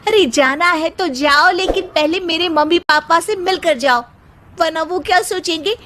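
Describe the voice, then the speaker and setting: An exasperated young cartoon wife acted by a woman with an extremely high voice. She is sharp-tongued and sarcastic, over clearly audible noise. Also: moderate pace. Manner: scolding, sarcastic. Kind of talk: cartoon story acting. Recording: clearly noisy recording.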